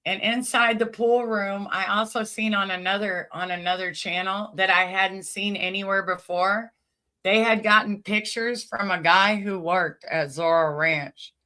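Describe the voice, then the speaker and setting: Woman hosting a chatty commentary stream, bright voice, super informal, talks right to viewers. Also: mid-range voice, clear, resonant tone. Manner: informal, conversational, addresses listeners directly. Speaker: host of a commentary channel.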